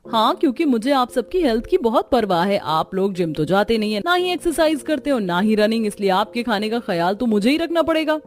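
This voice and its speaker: A woman's voice: a little high, very animated, a little fast, few pauses, slight background noise. A woman voicing an educated, health-obsessed young daughter-in-law in a cartoon story, earnest and lecturing. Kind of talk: cartoon story character acting. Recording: music under the voice.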